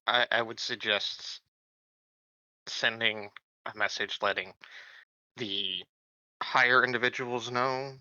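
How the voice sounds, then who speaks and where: A man's voice: medium pitch, fairly even intonation, slow pace, pauses often, pushed and bright, no room sound, dull audio. A man taking part in a tabletop roleplaying game, discussing his moves in casual talk.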